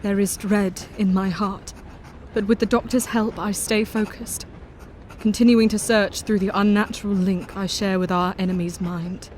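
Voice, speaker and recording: Woman doing gentle brave young wife, soft heartfelt voice, faint hiss. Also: mid-range voice, steady tone, normal speed, natural voice. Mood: somber. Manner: gentle, heartfelt, softly spoken. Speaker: narrator of her own journal. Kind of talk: audio drama acting.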